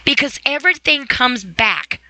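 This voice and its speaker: A woman's voice: high-pitched, expressive, moderate pace, pushed and bright. A woman hosting an informal, opinionated podcast monologue, speaking emphatically and repeating phrases for stress. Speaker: podcast host.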